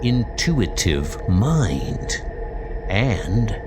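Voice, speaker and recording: A man voicing a grave, analytical male alien telepath superhero in a comic book dub, measured and formal. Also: lowish voice, very animated, music in the background, voice pushed down, full character voice. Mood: solemn, deliberate.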